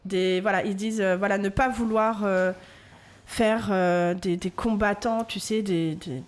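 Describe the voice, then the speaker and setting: A woman's voice: medium pitch, fairly even intonation, a little slow. A woman co-hosting a radio show and writing articles, talking casually and hesitantly as she searches for words. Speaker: podcast host and journalist. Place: radio studio.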